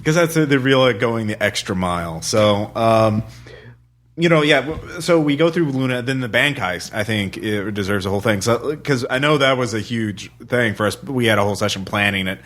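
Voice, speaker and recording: A man hosting a podcast, chatting and asking questions in a halting, think-aloud way. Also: medium pitch, fairly bright tone. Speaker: podcast host. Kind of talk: casual chat, interviewing.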